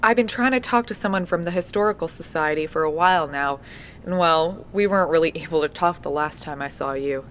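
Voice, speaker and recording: An actress playing a diligent, polite young researcher, speaking matter-of-factly into a field recorder in an audio drama. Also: female voice, low voice, a little fast, close to an everyday voice. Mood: polite, persistent. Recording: noisy handheld field tape with clicks.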